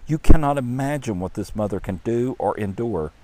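Storybook Lord, proud male creator, very low and earnest; a man reads him in his own voice. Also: moderate pace, darkish tone, natural voice.

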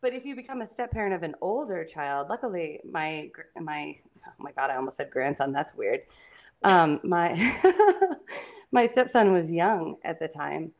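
A woman guest and licensed clinical social worker, with a deep booming voice, talking candidly and bluntly. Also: animated, moderate pace. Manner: candid, laughs loudly, blunt. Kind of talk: casual podcast conversation.

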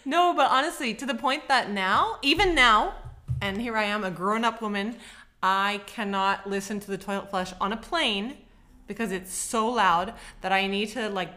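Bright-voiced Australian woman, chatty and laughing. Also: medium pitch. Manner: chatty, laughs often, self-deprecating. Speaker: podcast co-host. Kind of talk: casual chat.

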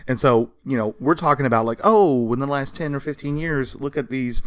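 A man's voice: medium pitch, a little fast, a bit bright. A man co-hosting a podcast, explaining history in a casual, conversational way with informal quoted asides. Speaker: podcast co-host.

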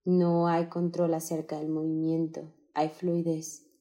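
Slow, mellow-voiced woman guiding meditation. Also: low-pitched. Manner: calm, soothing, unhurried. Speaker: meditation guide. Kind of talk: guided meditation, slow instructions with pauses.

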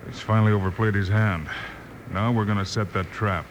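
A man playing a steady, commanding western marshal in an old radio drama, clipped and plain-spoken. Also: on the low side, a little fast, highs cut off, lightly acted. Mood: grim, determined. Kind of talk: 1950s radio western acting. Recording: noisy old radio recording.

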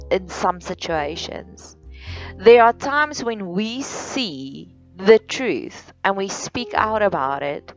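A woman from South Africa with an Afrikaans accent, hosting a solo podcast in a slow, earnest, deliberate way with many pauses. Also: mid-range voice. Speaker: podcast host. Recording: dull lo-fi sound with faint music.

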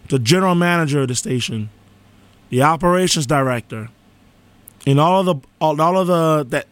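A man's voice: on the high side, dry recording. A man hosting a live college radio show, speaking earnestly with a sore, cracking voice. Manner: earnest, heartfelt thank-yous and station promos. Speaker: radio host. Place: radio studio. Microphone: studio microphone.

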